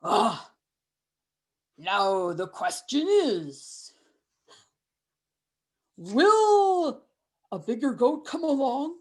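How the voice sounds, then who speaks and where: Woman as a hungry storybook troll: folksy drawl, very slow, bit higher than expected. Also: very animated, heavily acted. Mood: scheming. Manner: drawling, folksy, chuckling to himself. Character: grumpy hungry male troll under a bridge. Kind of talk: storytelling with voices.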